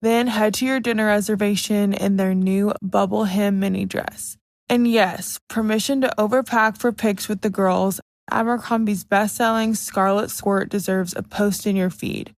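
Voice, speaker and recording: A woman's voice: mid-range voice, fairly even intonation, normal speed, no room sound. A woman announcer reading a scripted fashion advertisement.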